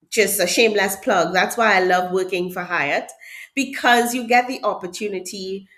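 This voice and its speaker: A middle-aged woman with a Trinidadian accent, a hotel HR director answering interview questions in an emphatic way, often repeating key words for stress. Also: medium pitch. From Trinidad and Tobago. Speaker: hotel HR director, podcast guest.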